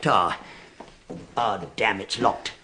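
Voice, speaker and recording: A man acting in a radio drama as a wry, casual, quietly probing visitor with a British accent. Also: lowish voice, fairly even intonation, slow pace, slight background noise. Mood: wry, joking.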